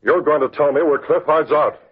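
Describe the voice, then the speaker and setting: An actor playing a decisive, authoritative masked crime-fighter in an old radio drama, with clipped delivery. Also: male voice, on the high side, fairly quick, slightly rough. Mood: menacing. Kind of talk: 1940s radio drama acting. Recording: tinny, scratchy old radio recording.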